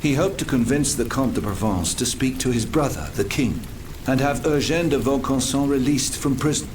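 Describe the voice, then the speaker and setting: A man playing a troubled, earnest French gentleman scientist in a video game, formal and theatrical. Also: medium pitch, moderate pace, a little hiss or hum, close to an everyday voice, rough recording. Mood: grave, earnest. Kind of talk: dramatic video game cutscene acting.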